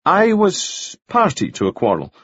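A man voicing a reserved, courteous and weary gentleman in an audiobook, speaking quietly and formally. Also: sits high, wildly swooping pitch, measured pace, husky, dry recording, lo-fi sound, pitched above the performer's own voice, heavily acted. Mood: pained, guarded.

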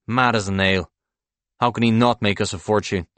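A man voicing a brash, foul-mouthed, excitable male banker in an audiobook, talking emphatically and impatiently like an evangelist for his schemes. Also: on the low side, normal speed, smooth, no echo, lightly acted. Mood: approving. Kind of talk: audiobook character dialogue.

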